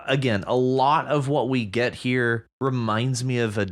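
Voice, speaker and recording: A man's voice: medium pitch, fairly even intonation, measured pace. A man co-hosting a podcast, giving casual, enthusiastic commentary on a story and swearing at times. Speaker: podcast co-host.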